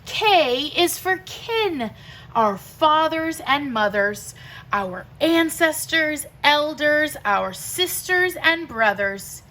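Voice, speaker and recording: Very slow, bright-voiced woman reading to children. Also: sits high, very animated. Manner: deliberate, emphatic phrasing with pauses. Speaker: teacher reading a picture book aloud. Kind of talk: expressive children's read-aloud of rhyming verse.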